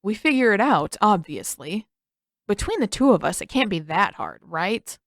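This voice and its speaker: A woman's voice: medium pitch, very animated, normal speed, dry recording, studio quality. A woman voicing an intense, suspicious teenage boy in an audiobook, emphatic and insistent. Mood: confident, scheming. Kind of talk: acted audiobook dialogue.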